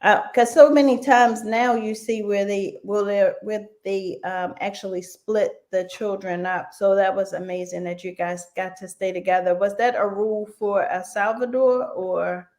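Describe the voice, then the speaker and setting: A woman hosting a live online radio interview, talking slowly with many fillers like "um". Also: medium pitch, steady tone. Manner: slow, warm-hearted, with frequent fillers. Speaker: radio show host.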